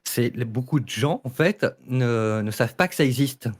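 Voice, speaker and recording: Male debater, medium pitch, informal and opinionated, halting delivery with repeated words. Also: animated. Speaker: audio fiction debate participant. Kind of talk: casual opinionated debate talk.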